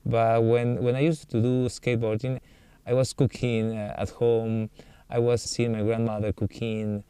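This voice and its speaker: Peruvian chef, clear smooth voice. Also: male voice, mid-range voice, measured pace, no echo. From Peru. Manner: relaxed, thinks aloud with many fillers. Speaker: chef and cookbook author. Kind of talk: radio interview answers.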